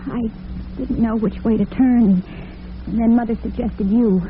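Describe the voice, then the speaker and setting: A woman's voice: fairly high pitch, steady tone, measured pace, phone-quality sound, natural voice. Woman acting timid young lady, noisy old radio. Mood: hesitant, pleading. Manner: hesitant, earnest, anxious. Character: timid, troubled, proud young working woman.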